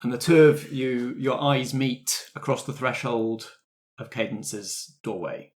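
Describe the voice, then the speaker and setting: A game master narrating a tabletop roleplay in a measured, wry manner. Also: mid-range voice, a little mellow.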